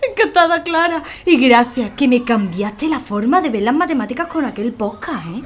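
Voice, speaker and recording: Actress as a sassy high-voiced young woman. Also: animated, moderate pace, natural voice. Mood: admiring. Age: young adult. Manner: casual, teasing, enthusiastic. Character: sassy young nursing student, César's sister. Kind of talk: audio drama dialogue.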